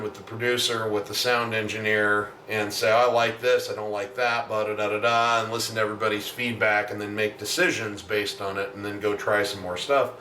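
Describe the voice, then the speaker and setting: Male musician, low voice, casual explanatory video diary delivery, roomy sound with faint noise. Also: fairly bright tone. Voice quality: deep natural voice. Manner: rambling, explanatory, with many asides. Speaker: singer-songwriter and self-producing musician. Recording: roomy, distant mic, faint background noise.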